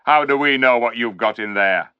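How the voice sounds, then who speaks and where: A man's voice: medium pitch, normal speed, clear, resonant tone, no room sound, close to an everyday voice. A man voicing a scornful, sneering male chief dwarf in a storybook reading. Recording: dull lo-fi recording.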